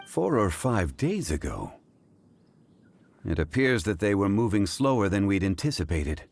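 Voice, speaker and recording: Composed scholarly gentleman, game dialogue, low voice, formal, measured wording, lively inflection. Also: gravelly, slight background noise, exaggerated voice. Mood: calm, pondering. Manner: formal, deliberate, calm. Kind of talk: video game voice acting. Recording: music behind the voice. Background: game music.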